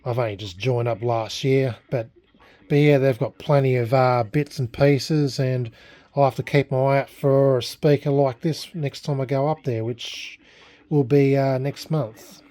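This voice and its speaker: A man's voice: mid-range voice, fairly even intonation, a little slow, mellow. An Australian man chatting casually in a vlog, rambling and self-deprecating as he talks in a blunt way. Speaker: vlogger restoring a vintage radio.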